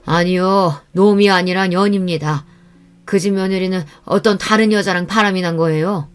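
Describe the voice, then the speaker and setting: A woman's voice: lowish voice, steady tone, moderate pace, clear, resonant tone, faint music behind, lightly acted. A woman voicing a resentful older male apartment guard, confiding and indignant, in a radio-style story. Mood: awkward revealing.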